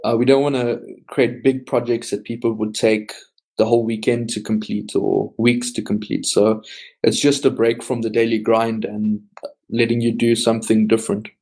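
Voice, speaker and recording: A man, a software team lead and guest on a casual podcast, explaining at length with frequent ums. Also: mid-range voice, level tone, normal speed, husky.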